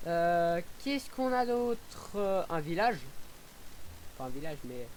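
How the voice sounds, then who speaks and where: A young man casually commenting on a driving game, rambling with many fillers and restarts, sometimes whooping with excitement. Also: high-pitched, takes it slowly, slight background noise, low-quality recording. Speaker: gaming YouTuber.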